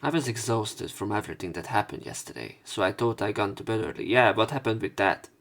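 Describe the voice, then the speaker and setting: A man's voice: fairly low pitch, a little fast, vocal fry, fairly bright tone. A man doing a casual let's play of a visual novel, reading the story aloud with rambling, conversational asides.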